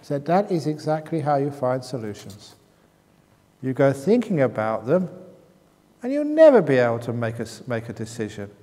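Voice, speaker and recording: A man's voice: medium pitch, very animated, normal speed, mellow. A man leading a meditation retreat question-and-answer session. He reads out audience questions and answers them with teasing jokes. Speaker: meditation teacher. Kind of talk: retreat Q&A talk. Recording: long hall reverb.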